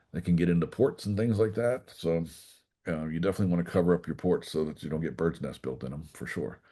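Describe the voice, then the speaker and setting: A man giving an informal technical class, walking through a software demonstration step by step in a folksy way. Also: low-pitched. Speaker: class instructor.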